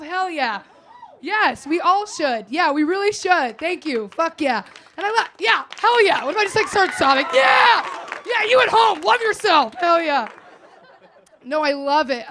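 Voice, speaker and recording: An American woman performing stand-up with crowd work, brash, loud and self-mocking. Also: high voice, slight background noise. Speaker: stand-up comedian.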